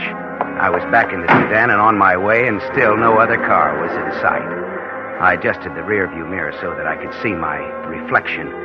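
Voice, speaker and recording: A man's voice: deep voice, natural voice. A man acting a cunning, murderous con man in old radio suspense style, hard-boiled and calculating. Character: cunning murderous male con man narrating his crime. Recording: dull vintage radio recording with music.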